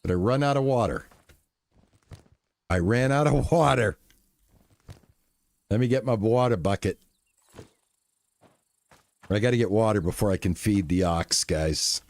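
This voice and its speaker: A man streaming a farming game live, chatting to his viewers in a relaxed, lulling way. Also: lowish voice, a little slow, long pauses, no echo. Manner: relaxed, chatty, talks to chat. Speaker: gaming streamer. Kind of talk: live gameplay commentary.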